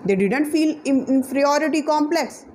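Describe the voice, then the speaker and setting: A woman's voice: high voice, animated, moderate pace, darkish tone, slight background noise. A young woman with a Pakistani accent, a native Punjabi speaker, giving an earnest, emphatic motivational talk to camera as an English teacher, seated indoors. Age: young adult. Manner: earnest, emphatic, lots of hand gestures. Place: indoor room, seated on a sofa.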